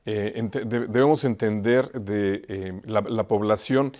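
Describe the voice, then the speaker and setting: A man's voice: fairly low pitch, slow pace, darkish tone. A man interviewing a guest conversationally, hesitating and repeating words as he forms his questions. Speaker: interviewer, host.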